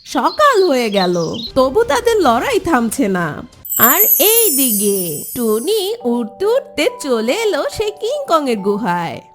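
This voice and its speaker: High-voiced woman narrating a children's cartoon. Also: huge pitch swings, slow pace, faint music behind. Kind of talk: scripted children's story narration.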